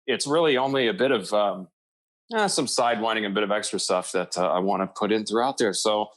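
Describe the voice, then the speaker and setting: A man's voice: lowish voice, fairly quick, fairly bright tone, dry recording. A man talking casually as a podcast guest, thinking aloud in a meandering, hesitant and modest way. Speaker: podcast guest, audio drama creator.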